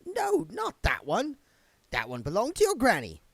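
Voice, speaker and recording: A man's voice: very high voice, wildly swooping pitch, normal speed, gravelly, a bit bright, breathy edge, dry recording, pitched above the performer's own voice, exaggerated voice. A man voicing a stubborn, no-nonsense woman medium in a storybook reading. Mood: brisk.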